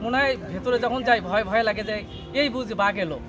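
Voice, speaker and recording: A man from Bangladesh visiting a river spot, talking outdoors in a relaxed, pleased way. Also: high-pitched, quick pace, over music. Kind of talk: casual on-location comments.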